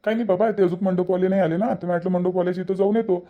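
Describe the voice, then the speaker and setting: A man as a brisk, dutiful grown son. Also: high-pitched, fairly even intonation, narrow, tinny audio, natural voice. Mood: busy. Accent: Vidarbha Marathi (Varhadi). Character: dutiful grown son preparing a family party. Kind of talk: cartoon family drama dialogue.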